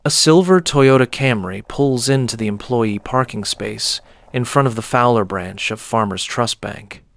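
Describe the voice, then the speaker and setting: A man narrating a scripted audio story in a measured, descriptive manner. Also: mid-range voice, creaky.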